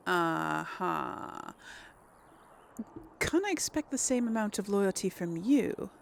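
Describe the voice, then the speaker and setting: A woman plays through a role-playing game and comments casually. Her speech is slow and hesitant, with a lot of vocal fry and animated swings in intonation. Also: mid-range voice. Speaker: gaming video host.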